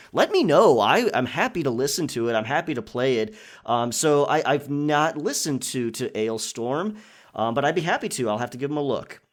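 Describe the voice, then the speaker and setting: Man chatting casually to his listeners. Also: a little high. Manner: conversational, chatty. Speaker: audio roleplay creator and channel host.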